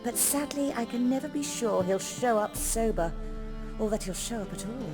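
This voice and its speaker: An actress playing a poised, refined stage performer in a video game, articulate and gently persuasive. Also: female voice, fairly high pitch, lively intonation, normal speed, close to an everyday voice. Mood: considering. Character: poised, refined theatre troupe woman, experienced stage performer. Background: music.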